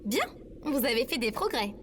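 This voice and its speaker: A woman's voice: fairly high pitch, big pitch swings, normal speed, over music. A woman playing a sarcastic, chirpy female AI companion, formal, precise and playfully bossy, in an acted audio comedy. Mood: cheerful sarcasm.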